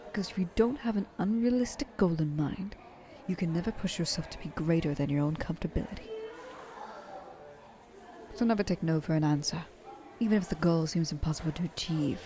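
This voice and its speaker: Low, mellow flirtatious vampire woman acted by a woman. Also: a little fast, close to an everyday voice. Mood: persuasive. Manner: coaxing, intimate, dropping often into breathy whispers. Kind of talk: ASMR roleplay monologue to the listener.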